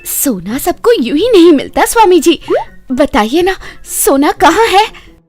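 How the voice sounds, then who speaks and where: Woman acting a high, wheedling young daughter-in-law. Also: big pitch swings, a little slow, darkish tone, soft background music, full character voice. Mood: excited, greedy. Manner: eager, dramatic, pleading. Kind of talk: animated moral story acting.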